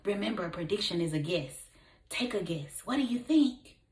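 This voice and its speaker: A woman teacher reading a story aloud to young children, expressive and encouraging, putting questions to her listeners. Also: mid-range voice, moderate pace. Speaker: kindergarten teacher. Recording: echoey room.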